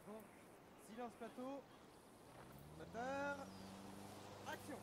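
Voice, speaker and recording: A man playing a businesslike film director in a game roleplay, giving instructions in a halting way. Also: extremely high pitch, expressive, creaky, heavy background noise, exaggerated voice. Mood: instructive. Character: businesslike male film director briefing actors.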